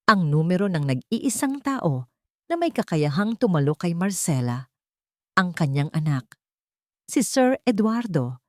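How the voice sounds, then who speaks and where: Female storyteller, lowish register, lively swings in intonation, suspenseful dramatic narration in a dry booth sound. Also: unhurried, long pauses, no room sound.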